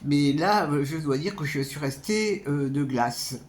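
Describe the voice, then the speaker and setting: Man, somewhat high-pitched, casual film vlogger, hesitant, halting, repetitive phrasing. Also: lively intonation. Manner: hesitant, halting, repeats words. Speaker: amateur film reviewer, vlogger. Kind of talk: casual spoken film review to camera.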